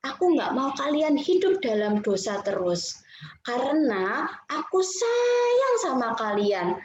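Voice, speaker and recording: Woman playing high-voiced, loving, patient God. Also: very animated, takes it slowly, full character voice. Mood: tender, earnest. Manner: tender, emphatic, repetitive. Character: loving, patient male God gently correcting his prophet. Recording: muffled, echoey room with background noise.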